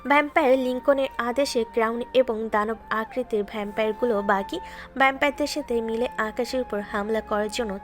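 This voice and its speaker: A woman's voice: a little high, fairly bright tone. Woman narrating a dramatic story. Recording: music bed behind.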